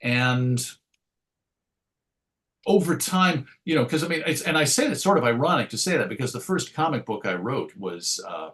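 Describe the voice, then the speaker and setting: Male interview guest, writer type: conversational, thoughtful and wordy, with hesitant phrasing and a medium-pitched voice. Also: animated, moderate pace, a bit bright. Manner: reflective, wordy, hesitant phrasing with self-corrections and wry asides. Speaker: interview guest, comics writer and radio host.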